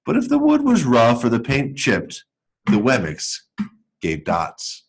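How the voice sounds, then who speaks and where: Male school storyteller, mid-range voice with very lively intonation, expressive and deliberate, for children's read-aloud. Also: medium pitch, husky. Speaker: school educator reading aloud to pupils.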